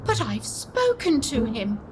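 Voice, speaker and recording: A woman's voice: high voice, sing-song, noisy background, heavily acted. A woman playing an anxious, bewildered governess in a spooky audio play. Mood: anxious, questioning. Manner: anxious, pleading, halting. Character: frightened young governess woman, earnest and bewildered.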